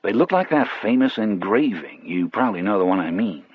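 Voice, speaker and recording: A man narrating an audiobook in the first person, telling the story in a measured, wry way. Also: fairly low pitch, sing-song, husky. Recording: dry but muffled, dull audio.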